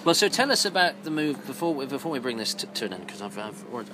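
A man hosting an interview podcast, asking questions in a halting way and often repeating words as he starts. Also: medium pitch, very animated, background noise. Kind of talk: conversational interview.

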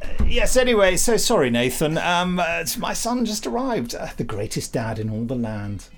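A man's voice: fairly high pitch, big pitch swings, normal speed, over music. A middle-aged British man hosting a podcast in casual chat, with a jokey, rambling, self-mocking way of talking. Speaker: podcast host.

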